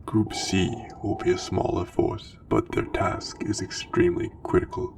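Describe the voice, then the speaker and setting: Over noticeable background noise and at some distance comes a menacing dark lord, acted out by a man, very low and gravelly. He issues military orders in a measured, breathy, commanding way, in the hushed style of an ASMR roleplay. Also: very animated, full character voice. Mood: stern, measured. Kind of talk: soft ASMR roleplay, scripted military briefing.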